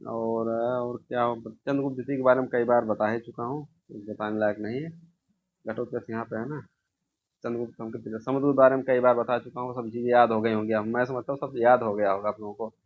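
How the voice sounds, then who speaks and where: Male exam-prep teacher, mid-pitched, informal question-and-answer delivery with repeated key points, muffled lo-fi recording. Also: steady tone, fairly quick. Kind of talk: informal quiz-review lecture, question then answer. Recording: dull, lo-fi sound.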